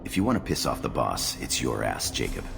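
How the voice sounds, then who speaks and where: An actor playing a wounded, self-serving lab technician in a video game, gravelly, sardonic and argumentative. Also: male voice, a bass voice, very animated, a little fast, noisy background, full character voice. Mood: grudging. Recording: reverberant hall under loud music.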